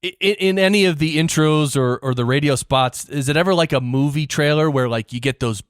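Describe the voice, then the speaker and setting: A man's voice: on the high side, brisk, clear, resonant tone, no echo, studio quality. A man hosting an interview show, chatting in an informal, rambling, conversational way. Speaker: podcast host. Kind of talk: casual interview chat.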